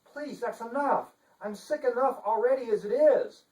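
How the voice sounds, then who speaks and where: A man's voice: extremely high pitch, moderate pace, a little mellow, pitched above the performer's own voice. A man voicing a stiffly genteel, dour woman chancellor with an affected manner in a dramatic novel reading. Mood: queasy, pleading. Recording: echoey room, distant microphone.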